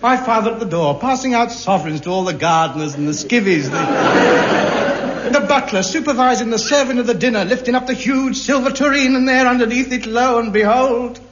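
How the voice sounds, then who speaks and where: A pompous, self-deluding man with a high voice holds forth grandly. His indignant bluster comes through a muffled old broadcast with steady hiss. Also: moderate pace. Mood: grandiose. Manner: grandiose, indignant comic delivery. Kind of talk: 1950s radio sitcom acting.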